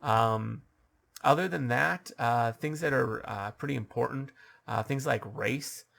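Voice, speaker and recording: A man's voice: mid-range voice, creaky, fairly bright tone. A man giving advice as a dungeon master, talking off the cuff with lots of ums and restarts, his voice a bit scratchy. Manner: halting, many ums and uhs, restarts. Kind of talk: unscripted advice monologue.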